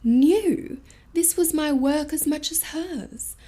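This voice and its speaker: A woman playing a cool, knowing mechanic and inventor in an audio drama, measured and breathy. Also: sits high, mellow. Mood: proud, musing. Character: female car mechanic and inventor, cool, unfazed, proud.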